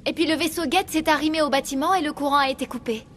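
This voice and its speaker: A woman's voice: sits high, quick pace, a bit bright, slight background noise. A woman playing an anxious, earnest young female research assistant in a video game. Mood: anxious, explaining. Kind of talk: French video game dub.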